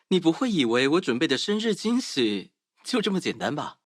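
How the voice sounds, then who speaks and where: Doting, teasing young sweetheart, lively pitch. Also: male voice, normal speed, no echo, studio quality. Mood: teasing, tender. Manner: tender, playful, intimate. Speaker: game voice actor. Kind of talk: romance game voice acting.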